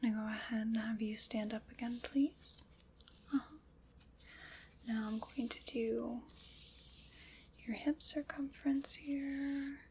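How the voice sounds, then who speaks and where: A woman whispering breathily in an ASMR roleplay, soft, unhurried, formal and reassuring. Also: fairly high pitch, fairly even intonation, a little hiss or hum, low-quality recording.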